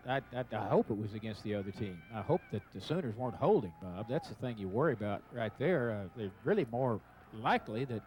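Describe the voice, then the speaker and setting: A man's voice: lowish voice, sing-song, quick pace, few pauses, a little mellow. A man giving unscripted color commentary on a radio football broadcast in a folksy, conversational way. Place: stadium broadcast booth. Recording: noisy stadium radio broadcast tape. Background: outdoor stadium noise.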